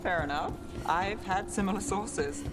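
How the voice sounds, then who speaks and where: A woman's voice: medium pitch, unhurried, over loud music, natural voice. A woman voicing a wry, curious adventurer in a fantasy video game. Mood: wry, amused.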